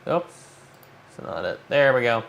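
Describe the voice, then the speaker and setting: A man's voice: mid-range voice, very animated, unhurried, gravelly, a bit bright, muffled recording. A man hosting a hobby livestream, talking informally and thinking aloud as he walks viewers through a demo. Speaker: livestream host, amateur radio operator. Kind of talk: casual livestream chat, walking through a demo. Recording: noticeable background noise.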